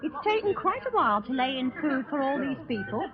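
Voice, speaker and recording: A woman's voice: high-pitched, big pitch swings, fairly quick. A woman from England speaking in a scripted 1940s radio broadcast style. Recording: tinny 1940 radio archive recording, noisy crowd. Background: crowd in an underground shelter.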